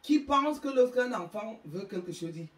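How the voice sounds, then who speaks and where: A woman's voice: fairly high pitch, expressive, roomy sound, far from the mic. A woman with an African French accent hosting her own channel, delivering an emphatic, moralizing monologue. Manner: slow, emphatic, preachy. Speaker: YouTube channel host.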